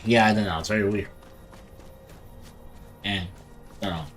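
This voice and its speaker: A man's voice: on the low side, steady tone, normal speed, soft background music. A man hosting a let's play with casual, offhand and wry game commentary.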